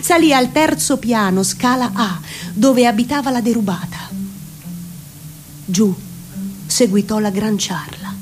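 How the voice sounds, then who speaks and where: A woman giving a dramatic literary reading, deliberate and theatrical, savouring ornate prose. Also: on the high side, darkish tone. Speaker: reciting actress in radio theatre.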